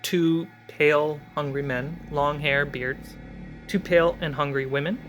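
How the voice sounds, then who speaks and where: A man narrating a tabletop fantasy game as game master, describing scenes in short measured phrases. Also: on the high side, fairly even intonation, faint music behind.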